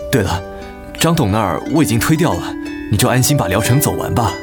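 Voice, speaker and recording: A man's voice: on the low side, big pitch swings, fast, over loud music. A man playing a busy, practical, concerned husband and father in a radio drama, with a Taiwanese Mandarin accent. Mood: practical, pressing. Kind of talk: dramatic radio play acting.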